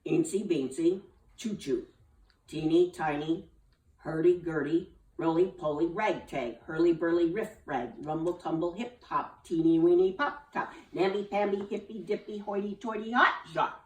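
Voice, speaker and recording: A woman's voice: on the low side, animated, moderate pace, hardly any pauses, recorded from a distance, close to an everyday voice. A woman voicing a fussy, blustery middle-aged male school principal. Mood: chanting. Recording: roomy, from off the mic.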